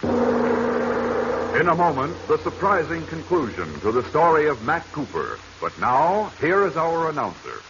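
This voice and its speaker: Muffled old radio, male announcer, 1940s style, lively swooping pitch, reading scripted credits style. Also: unhurried. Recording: muffled old radio recording, faint noise.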